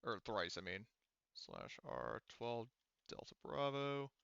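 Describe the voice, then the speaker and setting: A man's voice: lowish voice, big pitch swings, takes it slowly, vocal fry, fairly bright tone, clean tone, dry recording. A man running a tabletop roleplaying game as game master, talking through dice rules in a casual, profane way over slightly dull audio.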